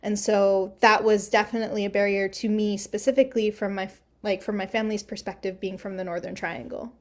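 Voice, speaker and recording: A woman's voice: medium pitch, flat delivery, fairly quick. Young woman talking thoughtful and reflective, lots of likes, podcast chat. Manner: reflective, thoughtful, frequent "like" fillers. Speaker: podcast co-host. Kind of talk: casual discussion.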